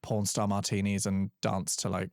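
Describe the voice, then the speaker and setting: A man co-hosting a casual chat podcast, chatty and quick to laugh, trailing off with fillers. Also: lowish voice, completely flat delivery, vocal fry, a little mellow, dry recording, studio quality. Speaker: podcast co-host.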